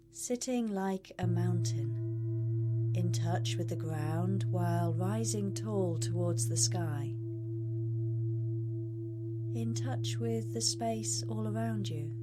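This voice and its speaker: Woman, lowish voice, guided meditation coach, measured and soothing, long pauses, prominent meditation music behind.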